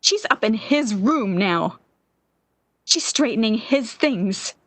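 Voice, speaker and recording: A woman's voice: on the high side, animated, takes it slowly, dry recording. An actress playing a lovesick, obsessive jilted young woman in the impassioned style of 1940s radio drama. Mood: bitter, resentful. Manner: melodramatic, yearning, impassioned.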